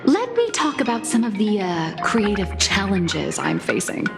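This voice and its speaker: A woman playing an earnest, wry comic artist in an anime-style video game, explaining things in a measured, slightly theatrical way. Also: medium pitch, huge pitch swings, vocal fry, exaggerated voice. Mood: wry, musing. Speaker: comic artist presenting her work. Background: music.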